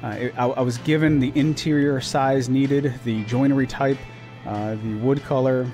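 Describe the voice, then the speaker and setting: A man's voice: medium pitch, fairly even intonation, normal speed, dark tone. A man in his thirties talks through a woodworking build in a voice-over. His delivery is plain and practical, with small restarts along the way, over faint background music. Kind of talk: voice-over narration of a workshop project.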